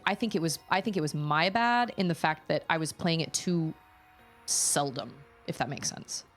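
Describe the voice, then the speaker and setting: A woman streamer chatting casually at home while playing a game live, thinking aloud and restarting her sentences often. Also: sits low. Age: adult parent.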